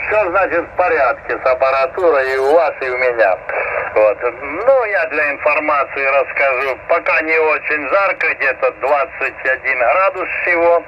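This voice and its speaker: A mature man chats over a shortwave radio. His voice is a little high and friendly, full of small hesitations. The signal is dull and band-limited, with clear noise around it. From Ukraine. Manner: chatty, hesitant fillers, friendly. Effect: shortwave radio transmission. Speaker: amateur radio operator. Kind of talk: casual ham radio chat. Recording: muffled, noisy shortwave radio reception. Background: radio static and noise.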